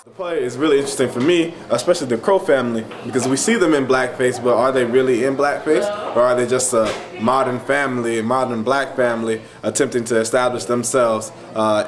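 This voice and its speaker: Reflective American man, crowd behind. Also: medium pitch. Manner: musing, poses rhetorical questions. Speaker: presenter discussing a play. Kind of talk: reflective spoken commentary. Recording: busy crowd chatter behind.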